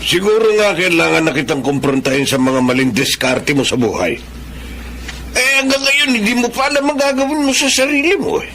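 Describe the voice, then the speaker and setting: Casting: fault-finding older Filipino dad for radio drama, bright high-pitched voice, emphatic, background noise. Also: male voice, animated, a little fast, full character voice. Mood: scolding.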